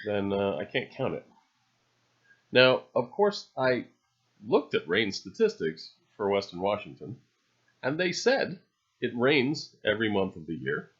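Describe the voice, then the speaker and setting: A man with a fairly low voice talks through an unscripted voice-over. He muses haltingly, trailing through his phrases with understated, dry humor. The recording is a little dull and lo-fi. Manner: halting, musing delivery with long trailing phrases. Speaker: video narrator. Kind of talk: unscripted, rambling voice-over monologue. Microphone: separately recorded voice-over.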